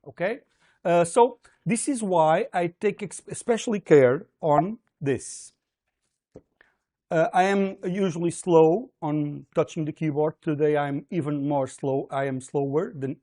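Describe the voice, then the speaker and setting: A man's voice: a little high, a little mellow. A man with a Portuguese accent giving a livestreamed university programming lecture, hesitant with many fillers and frequent "okay?" checks.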